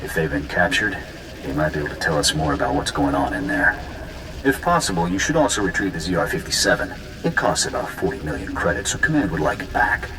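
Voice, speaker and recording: An actor playing a steady military officer giving a briefing in a video game cutscene, in an echoing recording. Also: male voice, sits low, quick pace, gravelly, background noise, heavily acted. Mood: businesslike. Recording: roomy echo, music behind.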